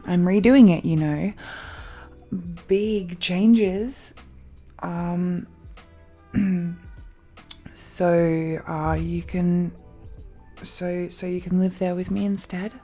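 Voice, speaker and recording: A woman acts out a young dragon girl, giddy to be engaged and possessively fond of her partner. She speaks very slowly in a soft, mellow voice, breathy and hesitant with a tender, giggly lilt. It is an intimate romantic audio drama with music behind her. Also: fairly low pitch, fairly even intonation. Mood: tender, nervous.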